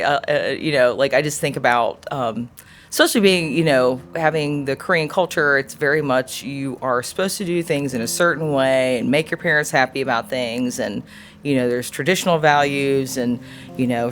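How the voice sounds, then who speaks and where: A woman in her forties answering an interview question in a thoughtful, earnest way, often pausing to search for words. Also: deep voice. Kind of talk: reflective personal interview answer.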